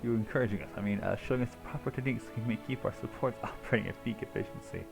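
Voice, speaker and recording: A man's voice: mid-range voice, rapid-fire, gravelly. A man livestreaming a video game, reading dialogue aloud and commenting casually. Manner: casual, sweary interjections. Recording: game music behind the voice. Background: video game music.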